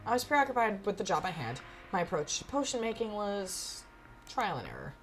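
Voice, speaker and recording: A woman's voice: mid-range voice, wildly swooping pitch, fairly quick, slight background noise. A woman voicing a witch's cat apprentice, a wry, self-deprecating narrator reading a visual novel expressively. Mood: wry recollection. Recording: faint music behind.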